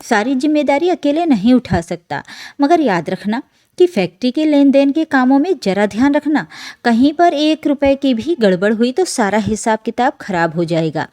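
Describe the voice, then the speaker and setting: A woman voicing an ageing father in a story, an authoritative businessman with deep feeling under his sternness. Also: lively intonation, normal speed, mellow. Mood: firm.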